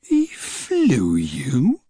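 A man voicing a nervous, excitable old grandmother in a storybook, with high fluttery exclamations. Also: drawn-out words, slightly rough, no room sound, exaggerated voice. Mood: astonished.

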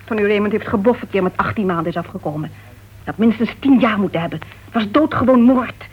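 A woman's voice: medium pitch, fast, breathy edge, natural voice. An actress playing a bitter, guarded woman with a painful past in a Dutch radio play, speaking in a terse, weary, restrained manner. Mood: grave, guarded. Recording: dull, muffled old radio recording.

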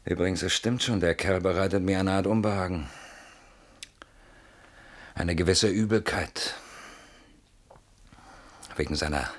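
An actor playing an anxious, suspicious, sardonic man in a German radio play, brooding and deliberate in his phrasing. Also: low voice, a bit bright, husky. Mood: brooding unease.

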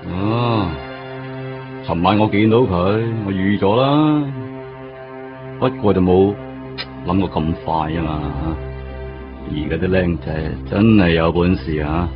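An actor playing a world-weary veteran police detective in a radio drama, with a Hong Kong Cantonese accent and a drawling, resigned, defensive manner. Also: male voice, low-pitched, takes it slowly, long pauses. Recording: muffled radio drama recording. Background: music.

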